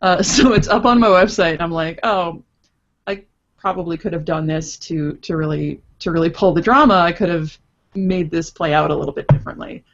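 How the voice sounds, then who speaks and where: A slightly dull recording carries a woman with a fairly low voice. She talks casually as a podcast guest, thinking her points through with hypothetical examples. The delivery is conversational and reflective. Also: brisk. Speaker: podcast guest, interactive fiction writer.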